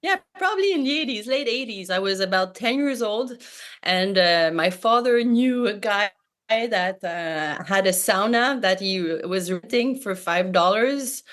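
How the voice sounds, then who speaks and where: A woman's voice: medium pitch, fairly bright tone. Hesitant woman. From Quebec, Canada. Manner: hesitant, many fillers and restarts, light laughs. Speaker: panelist, sauna operator. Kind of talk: panel discussion answer.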